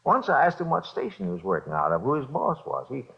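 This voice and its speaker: Nervous, stammering American bookmaker. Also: male voice, mid-range voice, wildly swooping pitch, vocal fry. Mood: anxious, evasive. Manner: halting, stammering, repeats himself. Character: scared small-time bookmaker under police questioning, nervous, pleading. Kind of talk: 1950s radio drama acting. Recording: dull vintage radio recording.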